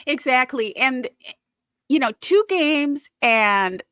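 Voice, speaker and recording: Bright chatty Wisconsin woman. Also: sits high, lively intonation, slow pace, no room sound, studio quality. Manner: chatty, laughs often, many fillers. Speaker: podcast co-host. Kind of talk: casual sports chat.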